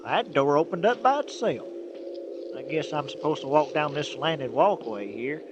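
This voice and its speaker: An actor playing a naive, good-natured country hauler in old-time radio comedy style, with hesitant, folksy speech. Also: male voice, on the high side, expressive, moderate pace, highs cut off, very noisy, natural voice. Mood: friendly, chatty.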